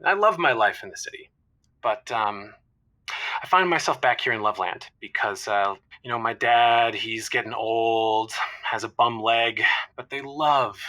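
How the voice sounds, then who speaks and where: A man playing an earnest, sentimental finance professional with a halting delivery, calling a comedy advice show. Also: mid-range voice, pushed and bright, rough recording. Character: earnest big-city finance man home in small town. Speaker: caller. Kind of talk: improvised comedy phone-in storytelling.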